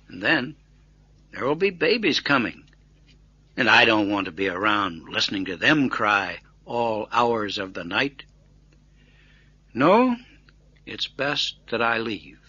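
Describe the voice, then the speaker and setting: Kindly self-effacing grandfather for a storybook, read by a man: unhurried, folksy, expressive rise and fall, dry sound. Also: mid-range voice, no room sound, muffled recording, heavily acted.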